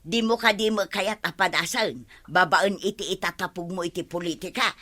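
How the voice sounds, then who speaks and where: A woman with an Ilocano Filipino accent acting an excitable, nosy village woman in a radio comedy-drama. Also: on the low side, moderate pace. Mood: nosy, prodding.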